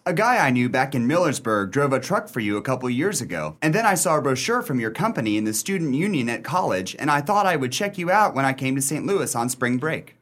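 An actor playing a polite, tentative young college student looking for work in a radio play. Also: male voice, medium pitch, big pitch swings, fairly quick, hardly any pauses, a bit bright. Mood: polite, hopeful. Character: earnest, polite, unsure young male college student job seeker.

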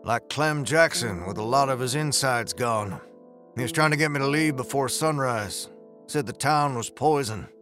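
A man's voice: medium pitch, moderate pace, music in the background, natural voice. A man voicing a stern, blunt former Texas Ranger with a protective streak in a western audiobook. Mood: firm, questioning. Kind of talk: western audiobook character acting.

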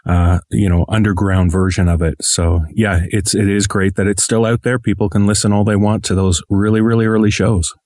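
A man's voice: lowish voice, little pitch movement, a little fast, hardly any pauses, darkish tone, no echo, clean studio sound. A man speaking as an interviewed podcast creator, thoughtful and wordy, with a self-deprecating streak in conversation.

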